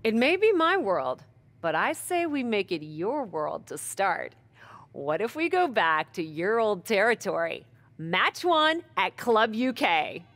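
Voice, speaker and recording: A woman's voice: mid-range voice, sing-song, slow pace, fairly bright tone, exaggerated voice. An actress playing a confident young female wrestling champion who talks in a playful, persuasive way. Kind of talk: video game cutscene acting.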